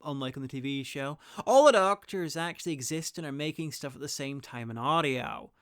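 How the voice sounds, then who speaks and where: A man presents a scripted guide in a smooth, fairly high male voice, recorded close and dry. He slips in opinionated, deadpan asides as he narrates. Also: a little fast, dry recording. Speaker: video presenter and reviewer.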